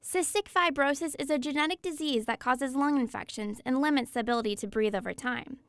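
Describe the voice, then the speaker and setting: Higher-pitched young American woman reporting news. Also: a little fast, fairly bright tone, smooth. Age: young adult. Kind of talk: scripted news narration.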